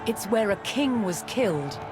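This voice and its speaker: A woman narrating a scripted history documentary in a measured, dramatic storytelling style. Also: medium pitch, expressive. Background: loud music behind the voice.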